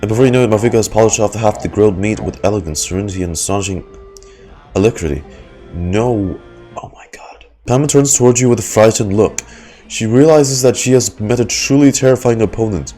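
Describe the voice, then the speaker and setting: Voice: medium pitch, fairly quick, mellow. A game streamer giving informal reaction commentary and reading the game's text aloud. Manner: informal, swearing. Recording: game music behind.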